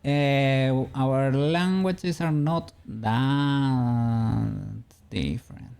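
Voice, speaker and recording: Man with a Spanish accent, streamer, mellow voice, gravelly, chatty and rambling. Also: medium pitch, extremely slow. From Spain. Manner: rambling, answers chat, chuckles often. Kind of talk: casual chat while 3D modeling.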